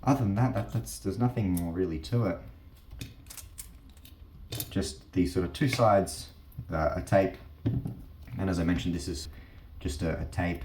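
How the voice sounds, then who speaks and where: Male host, extremely low pitch, informal demonstrator delivery, roomy echo. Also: unhurried, long pauses, a little mellow, slight background noise. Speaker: host of a teardown channel. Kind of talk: casual hands-on explainer. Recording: roomy, echoing sound.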